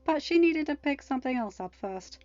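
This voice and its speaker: A woman's voice: high voice, lively intonation, fairly quick, a little mellow, no echo, dull audio. A woman reading scripted fan fiction narration as a storyteller. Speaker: podfic reader.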